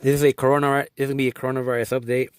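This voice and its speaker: A young adult man from Guatemala talks earnestly in a middle-pitched voice, rambling and restarting his sentences as he goes. The recording is dry and close, with no room sound. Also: level tone, racing, smooth, no room sound. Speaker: vlogger. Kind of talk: casual video update.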